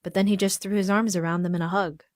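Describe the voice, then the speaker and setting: A woman's voice: medium pitch, steady tone, fast talker, no echo, studio quality, lightly acted. A woman playing a guarded young tech tinkerer in an audio drama, casual in dialogue and in first-person narration. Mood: reflective.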